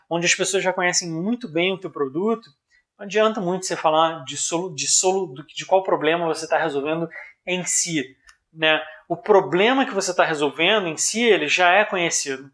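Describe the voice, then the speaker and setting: A man's voice: high-pitched, moderate pace, fairly bright tone. A man with a Brazilian accent giving an informal business explanation in a didactic, conversational way, often checking in with the listener. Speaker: presenter of a business analysis video.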